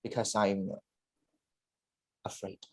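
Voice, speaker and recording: A man's voice: fairly low pitch, level tone, slow pace. A man playing a regretful, self-doubting middle-aged father and game developer in staged reader's theatre, confessional and earnest. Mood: bitter confession.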